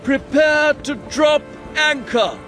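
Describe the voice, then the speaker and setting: An actor playing a stern ship's officer, calling out formally and deliberately in a sea drama. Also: male voice, very high-pitched, expressive, music in the background, full character voice.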